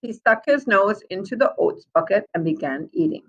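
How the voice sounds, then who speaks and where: A woman reading a storybook aloud like a teacher, with deliberate, expressive delivery and asides to her young listeners. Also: medium pitch, muffled recording.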